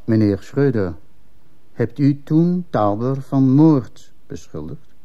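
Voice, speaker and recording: An actor playing a stern, probing male police commissioner in a radio play, questioning in a formal, measured manner. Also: lowish voice, expressive, dull audio. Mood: stern, pressing. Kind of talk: Dutch-language radio drama acting.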